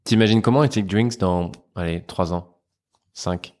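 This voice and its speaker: A man's voice: sits low, a little slow. A man hosting a podcast, asking his guest casual, friendly questions. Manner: relaxed, friendly questions with short backchannels. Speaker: podcast host, interviewer. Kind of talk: casual interview chat.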